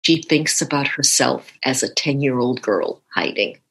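A woman's voice: very deep voice, animated, unhurried, gravelly, husky, rough recording. A woman being interviewed, recalling her community with light laughs.

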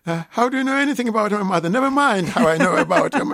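An older man, a native Russian speaker with a Russian accent, talking deliberately and hesitantly as an expert guest in a radio interview. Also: high-pitched, lively intonation, fairly bright tone, no echo, clean studio sound.

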